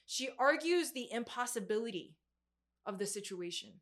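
Young woman, mid-twenties, higher pitch, crisp forceful brightness, conversational sermon delivery, informal, emphatic. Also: animated, normal speed. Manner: informal, emphatic, with youthful slang. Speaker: preacher. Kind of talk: sermon to a group, conversational Bible exposition.

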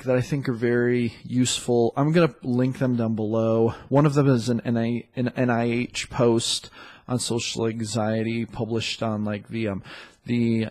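Man, congested, slightly raspy tone, rambling podcast host, plenty of ums. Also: mid-range voice, a little mellow. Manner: loose and chatty, with many fillers and self-corrections. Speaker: podcast host and dating coach. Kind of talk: casual solo podcast talk.

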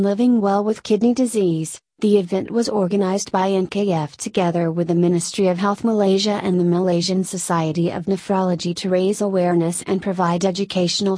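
A woman narrator reading a scripted feature article aloud in a measured way. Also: on the low side, fairly even intonation, warm tone, no echo.

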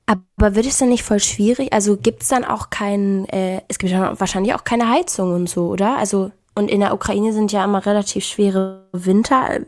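A woman's voice: medium pitch, fairly even intonation, normal speed, hardly any pauses, a little mellow, dry recording. A woman who works in radio joins the podcast remotely from home and asks casual, direct questions. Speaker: radio host.

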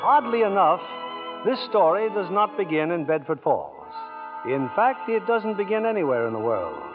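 A dramatic narrator of vintage radio drama, with a high, sweeping delivery. Music plays clearly behind the voice on a tinny old recording. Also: male voice, very animated, moderate pace. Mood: wistful, dramatic.

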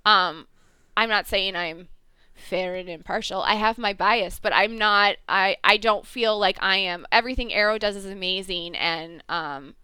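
A woman's voice: mid-range voice, measured pace, bright, forceful tone, clean tone, no room sound. A woman co-hosting a casual podcast chat about TV shows, slightly nasal, rambling and self-correcting with frequent laughs. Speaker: podcast co-host and TV reviewer.

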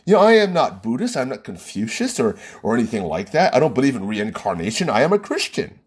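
A man's voice: medium pitch, big pitch swings, fast talker, husky. A man with an American accent hosting a solo podcast, talking emphatically and rhetorically, often tossing in "right?". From United States. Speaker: podcast host. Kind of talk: opinionated solo podcast monologue.

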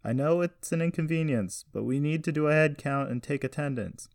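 A calm young man, voiced by a male narrator making an urgent announcement in a novel. He is polite and measured, projecting in a raised pitch. The recording is dry. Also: dry recording, natural voice. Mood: calling out.

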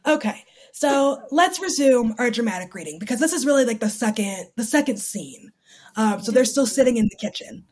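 A woman's voice: a little high, lively intonation, moderate pace, darkish tone. A woman hosting a podcast, recapping reality TV in a casual, gossipy, sweary and explanatory way. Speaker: podcast host.

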